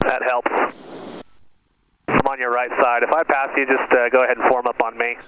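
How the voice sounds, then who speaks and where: A man's voice: mid-range voice, monotone, normal speed. An American man flying a small plane, talking through each step informally and making radio calls over an aviation headset. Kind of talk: cockpit talk and radio calls. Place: inside a small plane cockpit. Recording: dull cockpit intercom with engine noise.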